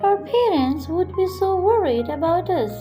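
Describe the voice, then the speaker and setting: A kind young storybook princess, voiced by a woman, speaks high and slowly. She is humble and polite, with a pleading tone. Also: lively intonation, mellow, soft background music.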